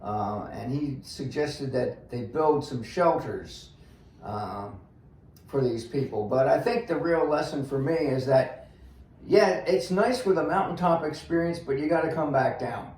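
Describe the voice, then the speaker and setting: An older man, in his sixties or above, casually discussing the Bible in a halting, plain-spoken way and thinking aloud with pauses. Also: medium pitch, a little mellow. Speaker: guest on a church read-along discussion. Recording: echoey room, faint noise.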